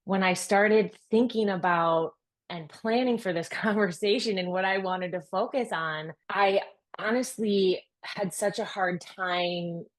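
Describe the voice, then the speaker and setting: A woman's voice: medium pitch, a little slow. A woman hosting a podcast, opening the interview with long, effusive, admiring praise for her guest. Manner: effusive and admiring, building long gushing sentences. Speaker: podcast host. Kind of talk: scripted-sounding interview introduction.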